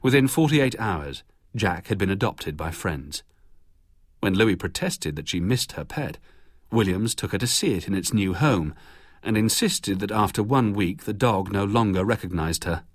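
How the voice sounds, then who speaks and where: A man's voice: lowish voice, lively intonation, normal speed, no room sound, clean studio sound. A British man narrating a scripted biography for radio.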